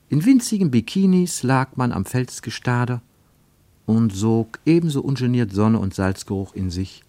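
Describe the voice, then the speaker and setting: A man narrating a radio-play travelogue in a measured, expressive storytelling manner. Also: fairly low pitch, dry recording.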